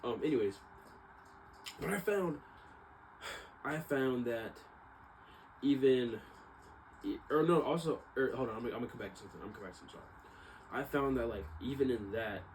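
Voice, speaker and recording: A man's voice: mid-range voice, normal speed, pauses often, a little mellow, a little hiss or hum, poor audio. A young American man telling a story casually, with a rambling, slangy manner, often correcting himself. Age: young adult. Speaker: vlogger, exercise science student.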